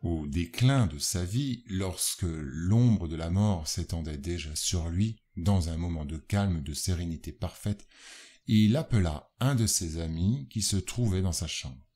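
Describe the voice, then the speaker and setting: A man reading scripted educational narration in a formal, measured, literary manner. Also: sits low, mellow. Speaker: narrator of a spiritist study guide.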